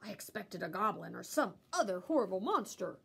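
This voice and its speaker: A woman reading a children's storybook aloud like a teacher, expressively and with animated delivery. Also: mid-range voice, vocal fry, husky. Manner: animated, pausing to ask listeners questions.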